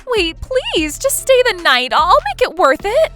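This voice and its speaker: A narrator puts on a brazen, clingy woman, the tipsy rival next door in a story drama. Her voice jumps high and loud, pleading and provocative. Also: very animated, moderate pace, soft background music, exaggerated voice. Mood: desperate, seductive. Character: brazen, clingy, drunk female neighbor rival.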